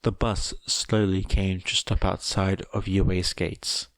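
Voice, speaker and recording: Low-pitched man narrating a story at leisure. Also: little pitch movement, takes it slowly, no echo. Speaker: fan fiction audiobook reader. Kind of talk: scripted story narration with character voices.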